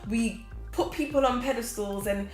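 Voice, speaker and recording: A woman in her twenties giving casual, energetic motivational talk to a camera as host, seated in a small room. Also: a little high, normal speed. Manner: energetic, expressive, gestures a lot, laughs often. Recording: roomy echo with faint background music.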